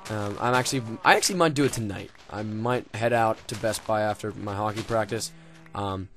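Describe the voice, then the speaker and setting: A man's voice: lowish voice, a little fast, a bit bright, faint music behind. A young man casually commenting on his game, rambling and joking with lots of swearing and fillers. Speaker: gaming video host.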